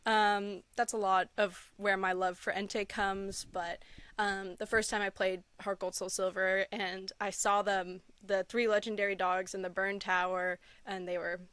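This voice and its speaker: Clear-voiced, chatty young-sounding woman guest. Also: mid-range voice, little pitch movement, unhurried, fairly bright tone, no echo. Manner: chatty, enthusiastic, giggly. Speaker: podcast guest. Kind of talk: casual interview chat, personal storytelling.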